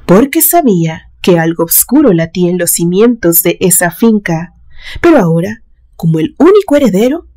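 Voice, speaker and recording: A woman reading a literary suspense story aloud in a measured, dramatic storytelling manner. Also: lowish voice, dark tone. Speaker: narrator reading a horror story.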